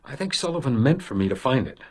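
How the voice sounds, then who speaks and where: A haunted male detective in a radio crime drama. His voice is mid-pitched and a little husky, and he speaks in curt, urgent bursts, anxious and driven. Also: sing-song, fast, a little mellow. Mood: grim, explaining.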